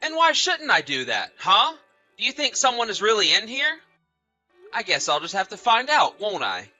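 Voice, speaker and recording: A man's voice: sits high, wildly swooping pitch, moderate pace, bright, forceful tone, faint music behind, exaggerated voice. A man playing a betrayed husband in an acted audio drama, bitter, sarcastic and accusatory. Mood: suspicious, sarcastic. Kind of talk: acted audio story dialogue and narration.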